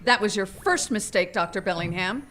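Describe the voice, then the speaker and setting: A woman's voice: medium pitch, expressive, moderate pace, a bit bright, a little hiss or hum, close to an everyday voice. A woman playing a bossy, brainy, boastful wife in a live comedic radio drama, commanding and theatrical. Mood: smug. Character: bossy, brainy, proudly over-qualified wife to the rescue. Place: theater stage before an audience.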